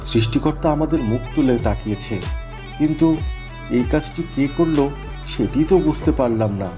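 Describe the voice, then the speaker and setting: A man voicing a kind-hearted, helpful husband in an animated moral story cartoon. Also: medium pitch, moderate pace, over music, natural voice. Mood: earnest. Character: kind-hearted poor tailor and helpful father mouse.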